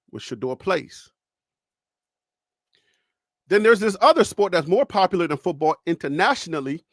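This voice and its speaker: Brief: Liberian-accented man, sports stream host, raised pitch, dry close mic, loose storyteller. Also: fairly bright tone, dry recording. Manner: storytelling, chatty with audience. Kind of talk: live-stream sports talk. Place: home studio.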